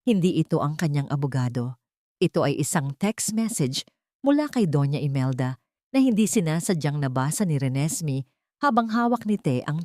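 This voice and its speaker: A woman with a Filipino accent narrating a scripted romantic drama in an emotive, deliberate storytelling manner. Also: lowish voice, warm tone, no echo, studio quality.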